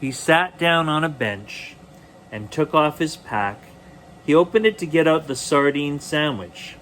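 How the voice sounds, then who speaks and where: A man reading a children's bedtime story aloud expressively, with deliberate dramatic pauses. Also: on the high side, lively intonation, a bit bright, slight background noise.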